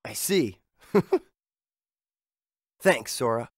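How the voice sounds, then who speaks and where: Young male game hero, earnest, good-hearted, lively pitch, dry studio sound. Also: very slow, no room sound. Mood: easygoing. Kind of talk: animated game hero acting.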